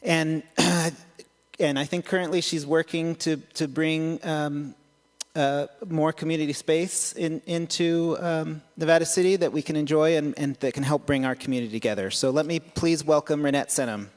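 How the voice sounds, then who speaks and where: A man hosting a live event, introducing the next speaker in a halting way with many fillers. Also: fairly high pitch, steady tone. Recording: long hall reverb.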